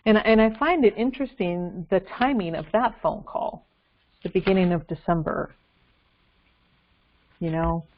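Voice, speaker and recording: A woman's voice: on the low side, unhurried, pauses often. A woman talking casually as a guest researcher in an investigative discussion, thinking aloud hesitantly. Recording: dull lo-fi call with faint noise, clicks.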